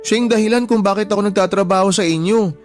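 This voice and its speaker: Man acting a high-voiced, anxious young shop worker. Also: fast talker, close to an everyday voice. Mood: pleading. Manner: polite, distressed pleading. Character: humble young male shop worker, anxious, respectful, loyal. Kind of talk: Tagalog radio drama acting. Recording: background music under the voice.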